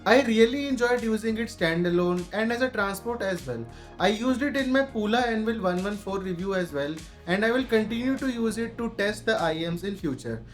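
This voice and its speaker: A man's voice: high-pitched, animated, a little fast, faint music behind. A man with an Indian accent narrating a scripted audio gear review.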